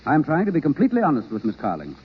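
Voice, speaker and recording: Proud, husky-voiced earnest young man. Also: on the high side, huge pitch swings, very fast, exaggerated voice. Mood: stiff, hurt. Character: proud, earnest young actor and drama teacher, wounded. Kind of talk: 1940s radio drama acting. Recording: muffled vintage radio broadcast.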